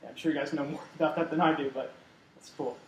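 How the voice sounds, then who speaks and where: A man's voice: fairly high pitch, fairly even intonation, fast. A young man hosting a casual sports interview, chatting informally with his guests. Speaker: interviewer, host. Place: living room, indoors. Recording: echoey room with faint background noise.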